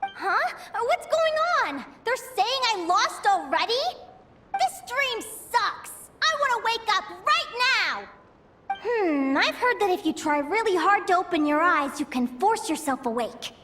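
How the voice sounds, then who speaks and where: A woman voicing a cheerful, impulsive young adventurer in a game, bubbly and quick to exclaim. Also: extremely high pitch, sing-song, over music, heavily acted. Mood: grumbling. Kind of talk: game cutscene voice acting.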